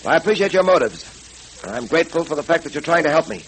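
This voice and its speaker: An actor playing an upright, steadfast detective in an old radio serial, measured, firm and earnest. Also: male voice, fairly high pitch, expressive, a little hiss or hum, natural voice, rough recording. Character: upright, steadfast male police detective hero. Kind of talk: old-time radio adventure serial acting.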